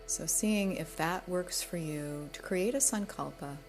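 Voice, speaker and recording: A woman guiding a relaxation meditation in a gentle, soothing, unhurried manner, with soft breathy moments. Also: lowish voice, faint music behind. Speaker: yoga nidra guide.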